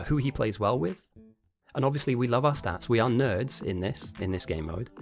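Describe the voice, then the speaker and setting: A man with a hoarse voice, casting and chatting casually on a live game stream, rambling a little and answering chat. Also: mid-range voice. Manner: chatty and rambling, with frequent restarts. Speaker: esports caster and streamer.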